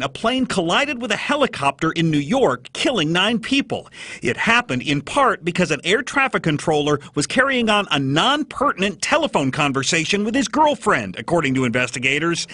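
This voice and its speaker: An American man narrates a television news investigation in a high, forceful voice. His delivery is very animated, with some rasp in the tone. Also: no echo. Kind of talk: scripted broadcast news narration.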